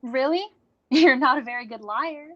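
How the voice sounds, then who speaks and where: A woman's voice: sits high, moderate pace, highs cut off. A woman playing a playful, perceptive young goddess in a staged reading, breezy and teasing. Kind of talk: live staged play reading.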